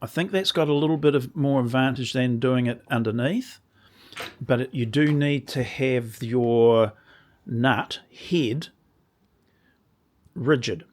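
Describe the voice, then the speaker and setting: This is a man with a slightly croaky voice, walking through a workshop build in a relaxed, unhurried way. He speaks at a slow pace, wanders mid-sentence and restarts his thoughts. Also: medium pitch. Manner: rambling, restarts sentences while explaining. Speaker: woodworking channel host. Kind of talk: casual workshop demonstration.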